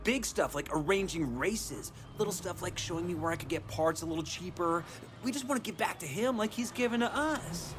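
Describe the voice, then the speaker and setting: Eager, lively, higher-pitched racer guy. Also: a little fast, background noise. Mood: earnest, sheepish. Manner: chatty, apologetic, casual. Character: eager, good-natured, rambling young male racer. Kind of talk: video game cutscene dialogue. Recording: music under the voice.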